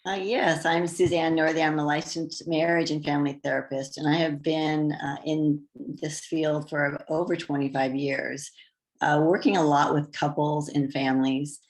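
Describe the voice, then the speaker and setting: Low, flat-voiced woman therapist. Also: moderate pace. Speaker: family therapist. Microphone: video call.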